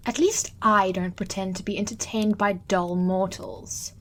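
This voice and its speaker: A cold, imperious immortal being, played by a woman, in a fantasy audio drama, scornful of dull mortals. She is pitched at an ordinary height and speaks over a faint hiss. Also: steady tone, unhurried, fairly bright tone, close to an everyday voice. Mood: disdainful. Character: haughty immortal female being, disdainful of mortals.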